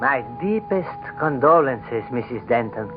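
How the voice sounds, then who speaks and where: An actor in an old radio drama playing a smitten wealthy Greek lover, ardent and solicitous, with an earnest, hesitant delivery. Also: male voice, mid-range voice, lively intonation, a little slow, music in the background, close to an everyday voice. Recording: dull, noisy old radio recording.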